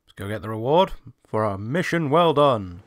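A man's voice: mid-range voice, expressive, normal speed, a little hiss or hum. A man giving casual, sweary gameplay commentary, half talking to himself as he plays. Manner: sweary, muttering to himself while playing. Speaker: video game reviewer playing. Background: game music with guitar.

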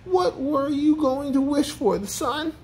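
A reader voicing a greedy, excitable male packrat from a children's storybook, theatrical and exclamatory, whiny when upset. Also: high-pitched, measured pace, slight background noise, voice pushed up. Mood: reflective, happy.

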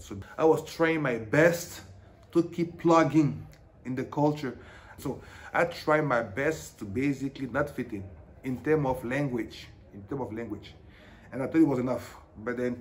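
A middle-aged man from Africa speaks with a French-speaking African accent, earnest and reflective, his hands moving as he talks. His voice sits at an ordinary middle pitch, recorded at a table in a small bright room with a faint ambient hum underneath. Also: moderate pace. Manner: earnest, with animated hand gestures. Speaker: interviewee, teacher. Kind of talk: reflective documentary interview.

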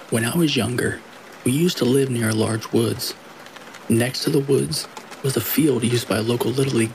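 Gravelly male horror narrator over rain. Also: mid-range voice. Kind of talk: scripted narration of scary stories. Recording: steady rain noise behind the voice. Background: rain falling.

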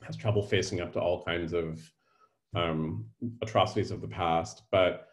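A man in his fifties from California, interviewing a guest from home over a video call, thinking aloud with many pauses. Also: deep voice, steady tone. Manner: musing, hesitant with fillers. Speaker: host, interviewer. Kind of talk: casual interview. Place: home room. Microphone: webcam on video call.

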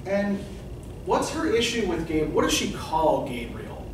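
A man's voice: high voice, very animated, measured pace. A middle-aged man teaching literature to a class in conversational lecture style, often checking in with "right?" and asking students questions. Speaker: literature professor. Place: classroom with whiteboard. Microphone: far camera at the back of the room. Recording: echoey classroom from far off, noisy.

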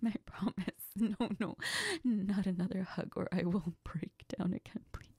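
A woman playing a heartbroken young woman crying to her best friend in an intimate audio role-play, halting and apologetic, often slipping into whispers. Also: medium pitch, steady tone, dark tone, slightly rough, dry recording. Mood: shaky, holding back. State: crying, tearful, sniffling.

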